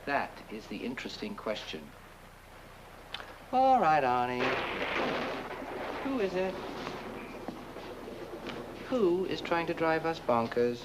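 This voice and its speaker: Flippant scheming lover, sardonic and theatrical, very animated, noisy old film audio. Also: male voice, medium pitch. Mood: scheming, reassuring, then sardonic, needling. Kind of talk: 1970s horror-comedy film acting.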